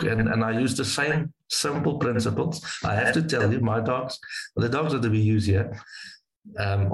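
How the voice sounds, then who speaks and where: Low-voiced South African man with a halting, echoey delivery. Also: slight background noise. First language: Afrikaans. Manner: halting, repeats words, restarts often. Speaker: wingshooting guide. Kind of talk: casual interview storytelling.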